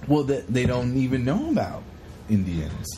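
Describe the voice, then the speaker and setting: A man co-hosting a podcast, chatting casually in an animated, rambling, sweary way as he retells stories. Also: medium pitch, normal speed, slight background noise. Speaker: podcast co-host. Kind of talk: casual chat retelling a historical story.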